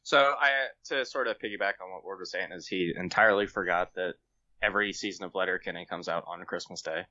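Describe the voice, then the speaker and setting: A man's voice: low-pitched, quick pace, pushed and bright, no echo, lo-fi sound. A man chatting casually as a guest author on a podcast, giving recommendations.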